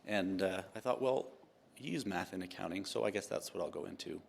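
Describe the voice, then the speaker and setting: A man in his fifties from Utah speaks in a very low, gravelly voice. He answers questions as a guest, unhurried, full of ums and restarts, with a dry, self-mocking wit. A faint noise sits under the recording. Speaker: tax partner and guest. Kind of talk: relaxed interview answers.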